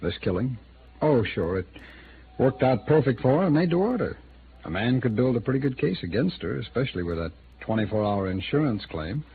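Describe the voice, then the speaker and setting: An actor playing a wry, evasive small-town attorney in a 1950s radio drama, speaking conversationally and a little hesitantly with an American accent. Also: male voice, mid-range voice, big pitch swings, fairly quick. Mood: hesitant, defensive. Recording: muffled, noisy old radio drama recording.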